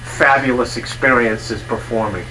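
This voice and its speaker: A man's voice: mid-range voice, measured pace, sharp tone. A man hosting a casual music talk show, chatty and complimentary, often restarting his sentences. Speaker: host. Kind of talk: casual interview chat. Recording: distant mic with faint noise.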